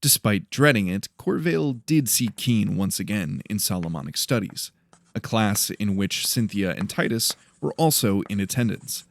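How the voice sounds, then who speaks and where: A man's voice: on the low side, animated, moderate pace, gravelly, no room sound. A man narrating dramatic fiction from a script as an audiobook storyteller. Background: faint music.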